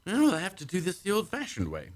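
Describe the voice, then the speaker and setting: A man's voice: sits high, wildly swooping pitch, quick pace. A man playing a caring but firm ship's doctor in a science fiction radio play, fussing and giving instructions. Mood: firm, busy. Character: brisk, caring male ship's doctor, firm under pressure.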